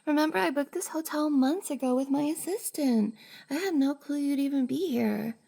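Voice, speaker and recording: A woman playing a shy, flustered young cosplayer in an intimate roleplay ASMR drama, hesitant and breathy, often sighing and dropping to a whisper. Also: sits high, moderate pace, dark tone. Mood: affectionate, playful.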